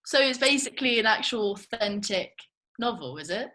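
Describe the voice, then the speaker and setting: A woman's voice: fairly high pitch, lively intonation, normal speed, bright, forceful tone. A woman, an actor at a table read, reacting casually in a script discussion.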